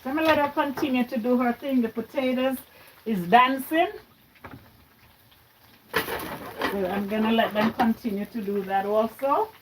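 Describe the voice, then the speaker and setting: A woman's voice: a little high, normal speed, long pauses, background noise. Chatty Jamaica-born woman cooking live. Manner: chatty, talks to viewers while cooking. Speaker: livestream cooking host. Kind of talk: casual cooking livestream. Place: home kitchen. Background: frying and stirring sounds.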